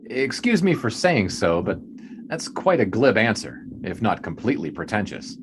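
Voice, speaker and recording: Brief: 1920s reporter, low voice, courteous but skeptical, faint ambience. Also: male voice, sing-song, normal speed, noisy background. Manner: polite, probing, a bit skeptical. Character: earnest young male 1920s sports writer. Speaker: sports writer interviewer. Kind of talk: period audio drama acting.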